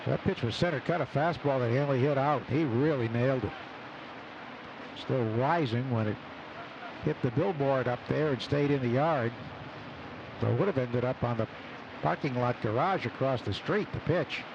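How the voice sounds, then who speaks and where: A man doing live radio baseball play-by-play, calling the action in clipped phrases between pauses. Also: medium pitch, gravelly, lo-fi sound. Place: open broadcast booth at outdoor ballpark. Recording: noisy outdoor ballpark broadcast. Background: loud stadium ambience.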